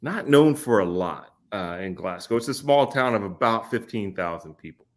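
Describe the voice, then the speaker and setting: An easygoing man in his forties who talks through a story at his own pace. He fills his pauses with small words and laughs readily. It sounds like an unhurried podcast conversation. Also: lowish voice, expressive. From Kentucky. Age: 40s. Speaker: podcast guest.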